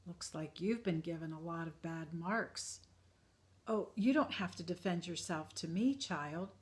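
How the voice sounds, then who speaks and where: Woman doing a gentle old woodcarver, hushed. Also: on the low side, lightly acted. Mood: tender. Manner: soft, slow, tender, often hushed.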